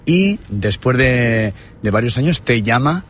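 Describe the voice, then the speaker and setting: A man's voice: mid-range voice, lively intonation, a little slow, a bit bright, slight background noise. A man interviewing a young player, asking friendly, prompting questions. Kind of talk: casual interview.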